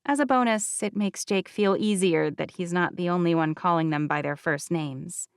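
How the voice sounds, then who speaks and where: A woman narrating a first-person novel as an audiobook, with expressive, wry delivery. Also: fairly low pitch, moderate pace, smooth, no echo, studio quality.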